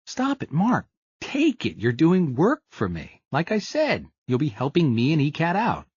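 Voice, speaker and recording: A man's voice: fairly high pitch, wildly swooping pitch, a little slow, a little mellow, breathy edge, no echo, lo-fi sound, voice pushed up, heavily acted. A male narrator voicing a jittery, fidgety man who keeps pleading to be trusted. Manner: pleading, insistent.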